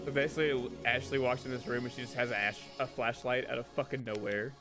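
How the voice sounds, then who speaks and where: A man's voice: mid-range voice, steady tone, fast talker. A man doing casual, profane playthrough commentary on a game, reading dialogue aloud theatrically with asides of his own. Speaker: streamer reading a visual novel aloud. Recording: music behind the voice.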